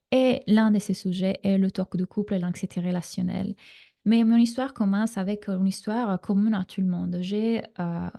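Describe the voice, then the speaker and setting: This is a woman from Italy, speaking with a clear Italian accent as she coaches a live online class. Her voice is mellow and dark, with a gravelly fry at the ends of phrases. She talks in a reassuring way, with plenty of fillers, through a computer mic. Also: medium pitch. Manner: warm, reassuring coaching talk with frequent fillers. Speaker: relationship coach.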